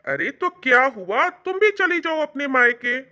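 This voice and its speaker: A woman plays a young husband in a dramatised family story, with a high, bright voice. He is concerned and placating, coaxing with questions in lively intonation. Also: a little slow, heavily acted.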